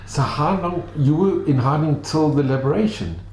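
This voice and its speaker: Dark, soft-toned male interviewer. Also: a little high, lively intonation, moderate pace. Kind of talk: conversational interview, short questions and reactions. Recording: echoey room with clear background noise.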